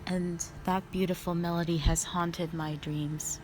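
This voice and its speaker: A woman playing a calm, stern, ancient female dragon guardian in a roleplay audio drama, speaking in a formal, measured, proud way. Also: on the low side, background noise, lightly acted. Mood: solemn, reflective.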